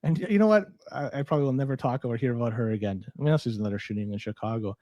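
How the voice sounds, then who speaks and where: A man's voice: mid-range voice, very fast, dark tone, dry recording, lo-fi sound. A man with a Canadian accent co-hosting a podcast in casual, sweary, incredulous rants. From Toronto, Canada. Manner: profane, incredulous, ranting. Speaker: podcast co-host. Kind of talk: casual, unscripted podcast banter.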